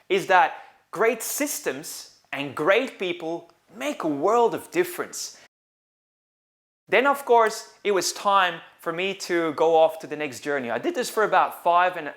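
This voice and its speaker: A middle-aged business coach from Belgium talks straight to the camera from his couch. His voice sits a little high for a man, and he tells his story in an earnest, measured, reflective way. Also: a bit bright. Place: sitting on a couch indoors.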